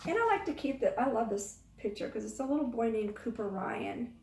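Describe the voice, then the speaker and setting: High-voiced woman teacher, sing-song, roomy sound. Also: animated, brisk, gravelly, a little mellow. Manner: sing-song, coaxing, asking child-directed questions. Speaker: early-childhood teacher and storytime host. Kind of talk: storytime talk addressed to young children. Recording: roomy echo, distant microphone.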